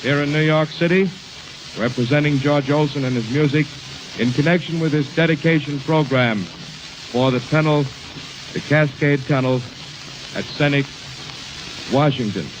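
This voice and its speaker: Crackly old radio noise, American male announcer, fairly high voice, formal, measured phrasing. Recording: hissy, noisy 1920s radio recording.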